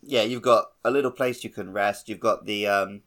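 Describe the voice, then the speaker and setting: A man's voice: lowish voice, steady tone, moderate pace, fairly bright tone. A man co-hosting a podcast, talking casually and conversationally about a video game. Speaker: podcast co-host.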